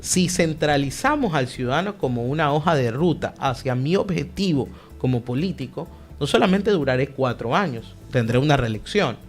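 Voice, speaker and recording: Emphatic man, lively pitch. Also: moderate pace, few pauses, soft background music. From Ecuador. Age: young adult. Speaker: political guest, party director. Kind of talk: radio interview answer.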